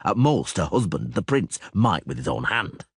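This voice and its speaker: A male narrator voicing a weary grown monster hunter who speaks in a curt, dry, gruffly sarcastic way. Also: deep voice, sing-song, moderate pace, dry recording, exaggerated voice. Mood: stern. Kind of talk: audiobook character voice.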